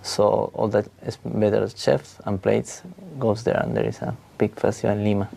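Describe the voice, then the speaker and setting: A man's voice: lowish voice, little pitch movement, moderate pace, gravelly, warm tone. A young man from Peru with a Peruvian Spanish accent, answering hesitantly in an English speaking test. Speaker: English exam candidate.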